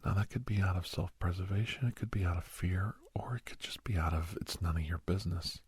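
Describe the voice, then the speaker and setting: Low, very breathy man acting as counseling doctor. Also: fairly quick, no room sound, heavily acted. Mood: earnest, reassuring. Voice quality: breathy, hushed. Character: calm, earnest male doctor counseling a young werewolf. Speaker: ASMR role-play performer. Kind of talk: soft-spoken ASMR role-play monologue, often whispering.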